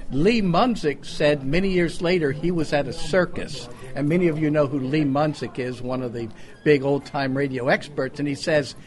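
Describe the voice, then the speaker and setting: A man with a fairly high voice talks casually to a club audience at a luncheon. He tells anecdotes in an informal, bantering way, recorded live at the event. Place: restaurant luncheon meeting. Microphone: event microphone. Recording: live restaurant gathering over video call.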